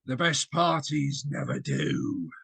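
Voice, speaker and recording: A man's voice: medium pitch, wildly swooping pitch, slow pace, gravelly, a bit bright, slightly rough, dry recording, exaggerated voice. A man voicing a hissing, chuckling spirit trapped in a guitar case, whispering his lines. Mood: amused.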